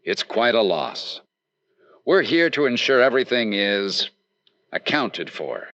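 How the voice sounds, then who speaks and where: An actor playing a wry, polite and businesslike insurance investigator in an old-time radio detective drama. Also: male voice, medium pitch, animated, slow, a bit bright, dry recording, lo-fi sound. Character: upbeat, courteous, wry male insurance investigator.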